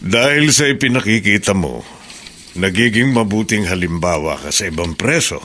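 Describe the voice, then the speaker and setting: A kindly middle-aged Filipino prison guard talks in a fairly low voice. He is warm and encouraging in radio drama style, with faint noise and ambience behind him. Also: expressive, moderate pace. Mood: warm, approving.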